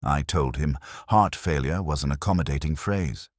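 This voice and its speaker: Man playing very deep-voiced brooding detective narrator. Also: dry recording, studio quality. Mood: sombre, wry. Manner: measured, brooding, wry, literary. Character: melancholy, literary male English police detective narrator. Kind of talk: scripted first-person mystery narration in radio drama manner.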